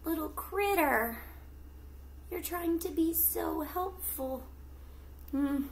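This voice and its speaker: A middle-aged woman teacher reading a picture book aloud to children, with expressive, drawn-out storytelling and questions to the young listeners. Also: very high-pitched, a little hiss or hum. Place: small indoor room at home with plants.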